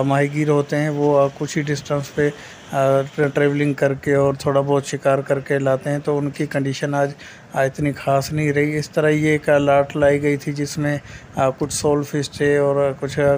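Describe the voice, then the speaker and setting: Flat-toned, higher-pitched Pakistani man reporting. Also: a little hiss or hum. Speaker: host of fish market report vlog. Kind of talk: informal market report narration.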